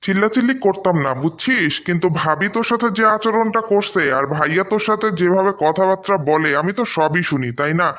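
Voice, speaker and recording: Man acting defiant high-voiced young Bangladeshi brother. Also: a little fast, few pauses, a bit bright. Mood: resolute. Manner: argumentative, insistent. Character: defiant, protective young brother standing up for sister. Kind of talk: amateur cartoon story dubbing.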